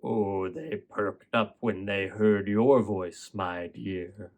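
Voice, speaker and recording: A man voicing a sly wolf who poses as a frail old grandmother, coaxing slowly in a low, hushed, breathy voice. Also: steady tone. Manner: slow, coaxing, drawn-out endearments. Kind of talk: storybook character voice in oral storytelling.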